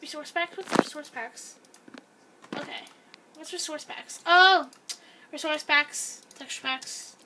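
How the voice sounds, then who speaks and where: A woman's voice: high-pitched, takes it slowly, long pauses, noisy background. A young woman chatting casually and rambling while she plays a game, filmed by a camera pointed at a screen. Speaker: gameplay video host. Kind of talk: casual chat while playing. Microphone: camera filming a screen.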